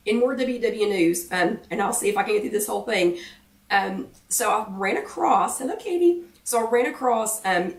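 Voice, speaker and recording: Woman, American accent, hoarse worn-out voice, chatty livestream host, self-deprecating, roomy echo, distant mic. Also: medium pitch. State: hoarse, failing voice. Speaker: host of a live weight-loss chat. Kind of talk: casual livestream chat greeting viewers.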